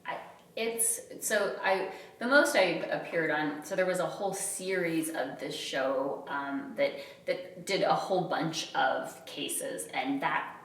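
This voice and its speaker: A woman's voice: sits low, takes it slowly, fairly bright tone. A middle-aged woman from Utah, a podcast guest, chatting casually about her work and laughing at herself often. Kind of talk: casual interview chat. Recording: roomy echo, somewhat far from mic.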